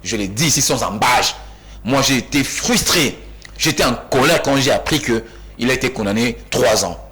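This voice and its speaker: A man from Kinshasa, a panelist in a heated studio debate who cuts in, repeats himself and rises almost to shouting. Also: mid-range voice, lively intonation, normal speed. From Kinshasa, DR Congo. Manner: insistent, interrupts, repeats himself emphatically, rising to near-shouts.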